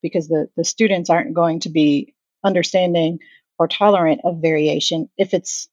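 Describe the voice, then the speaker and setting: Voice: mid-range voice, steady tone, moderate pace, darkish tone, no echo. Thoughtful speaker, muffled dry audio. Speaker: podcast panelist. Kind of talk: podcast discussion.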